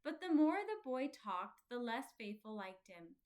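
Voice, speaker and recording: Higher-voiced woman reading a storybook. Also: big pitch swings, unhurried, a little mellow. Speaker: storybook reader. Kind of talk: scripted children's story narration.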